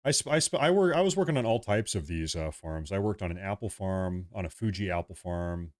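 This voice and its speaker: A young man from the United States hosts a loose livestream, talking straight to his viewers. His voice sits very low, and he rambles, circling back over the same phrases as he reads chat and fills time. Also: expressive, brisk. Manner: rambling, repeats himself, reads chat aloud. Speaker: livestream host.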